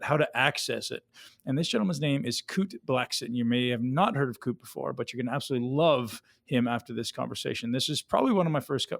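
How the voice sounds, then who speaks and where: An enthusiastic male podcast host speaks at a middle pitch, praising his guest effusively. He fills pauses with "um"s and sounds upbeat. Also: vocal fry. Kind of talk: casual podcast intro and interview.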